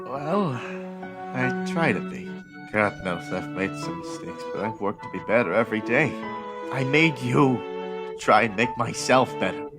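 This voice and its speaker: A man's voice: medium pitch, lively intonation, a little slow, loud music behind the voice. A man playing an earnest, protective inventor father in an audio drama, speaking in a hesitant, fatherly way. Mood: tender, earnest.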